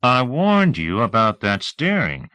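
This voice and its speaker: A sardonic, commanding police chief from a novel, as a male narrator plays him, pitched up from the storytelling voice. He needles people with mock friendliness and sounds cocky, in a close, dry recording. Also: wildly swooping pitch, measured pace, pushed and bright, smooth, no room sound, full character voice. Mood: sardonic, needling.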